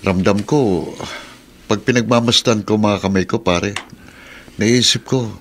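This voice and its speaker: Proud, low-voiced working-class father. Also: measured pace, fairly bright tone, slight background noise. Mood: proud, reflective. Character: middle-aged father, one-legged pedicab driver, proud. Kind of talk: Filipino radio drama acting.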